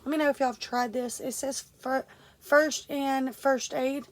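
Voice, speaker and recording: A young woman with a high, stuffy, congested voice chats through an unboxing for her channel. She rambles, trails off and restarts, dropping plenty of ums along the way. A faint background hiss sits under the recording. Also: steady tone, measured pace. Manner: rambling, many fillers and restarts. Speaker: vlogger. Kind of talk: casual unboxing vlog.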